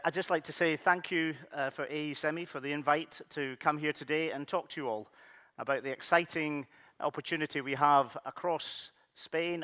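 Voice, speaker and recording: A man's voice: fairly high pitch, bright, forceful tone. Scottish man giving a conference keynote. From Scottish Highlands. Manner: friendly, loose delivery with frequent fillers. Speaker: keynote speaker. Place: large conference hall. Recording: conference hall with long reverb.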